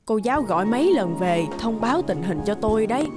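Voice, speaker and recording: A woman voicing a gentle, worried middle-aged housemaid in an animated drama, coaxing and hesitant. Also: a little high, fast, music in the background, lightly acted.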